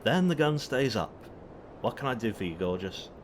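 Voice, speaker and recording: A cocky, playful rogue from a sci-fi audio drama, acted by a man, who flirts and threatens in the same breath. His pitch swings widely and theatrically over a clear rumble of background noise. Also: big pitch swings, normal speed, close to an everyday voice. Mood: flirtatious, teasing. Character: cocky, flirtatious, trigger-happy male space rogue.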